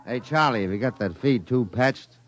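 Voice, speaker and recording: A man playing a gruff, slangy, down-on-his-luck rocket pilot in a 1950s radio drama. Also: medium pitch, normal speed, soft background music, lightly acted. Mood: brusque, weary. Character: blunt down-on-his-luck rocket pilot running a fairground ride.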